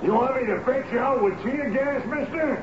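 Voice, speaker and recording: An actor playing a stern, impatient police sergeant in a radio drama, barking commands. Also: male voice, very high voice, normal speed, noisy background. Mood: commanding. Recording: muffled old radio broadcast, echoey, music behind.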